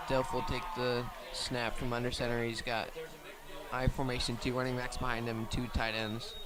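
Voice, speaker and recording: A man giving live radio play-by-play commentary outdoors at a football game, in an even delivery. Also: mid-range voice, background noise. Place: outdoors at a high school football field.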